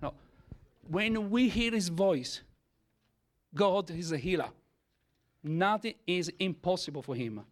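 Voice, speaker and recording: A man with an Italian accent, his first language Italian, tells a church testimony in English. He talks in halting bursts, with many fillers and restarts. His voice sits a little high for a man. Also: lively intonation. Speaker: church speaker giving testimony. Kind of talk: testimony sermon.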